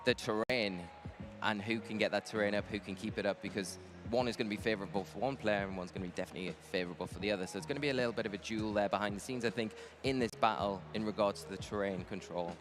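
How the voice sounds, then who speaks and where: A man's voice: on the low side, fast, over music. A man doing esports analysis as a commentator.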